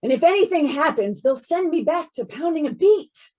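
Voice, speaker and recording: A woman acts out a worried homicide inspector in old-time radio style. The voice sits high and swings expressively, insistent and earnest as it warns and reports. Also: animated, normal speed, a little mellow. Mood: urgent warning.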